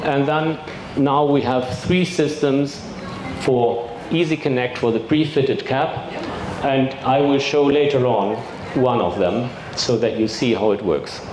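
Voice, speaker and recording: A man with a German accent giving a technical presentation at a trade fair, measured, with fillers and throat clearing. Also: medium pitch, fairly even intonation. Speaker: industry expert presenter. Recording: echoey hall with background noise.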